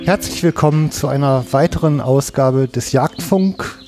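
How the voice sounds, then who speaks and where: A man's voice: mid-range voice, moderate pace, over music. A man hosting a hunting podcast, talking casually with many hesitations and fillers. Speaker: podcast host.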